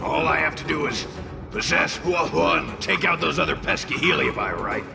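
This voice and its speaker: An actor playing a brash, hot-tempered fire spirit in a video game, with a gravelly, cocky, theatrical delivery. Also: male voice, on the high side, lively intonation, moderate pace. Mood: cocky, scheming. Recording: music under the voice.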